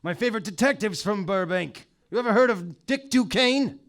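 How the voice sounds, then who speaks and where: A man performs a laid-back detective in a live theater comedy, his voice fairly high and swinging widely in pitch. He mumbles his hardboiled lines, often sinking to a low murmur. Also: animated, normal speed, fairly bright tone. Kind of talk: live staged comedy acting.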